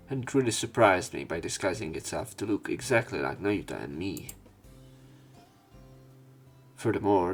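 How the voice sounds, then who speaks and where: A man reading visual novel text aloud as a let's play narrator, in a somewhat halting manner. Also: sits low, creaky. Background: game music.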